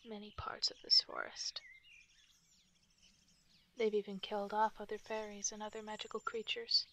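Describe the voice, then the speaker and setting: A woman voicing a guarded, protective wolf fairy in a soft, halting, often whispered ASMR roleplay. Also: mid-range voice. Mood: somber, wary. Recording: nature ambience and birdsong noise. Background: forest sounds, birdsong.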